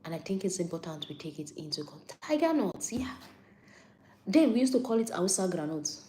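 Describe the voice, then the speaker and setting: Low-voiced Nigerian woman chatting. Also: big pitch swings, brisk, mellow. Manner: chatty, repeats points for emphasis, answers viewer comments. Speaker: health talk host on a live stream. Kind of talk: casual live-stream health talk.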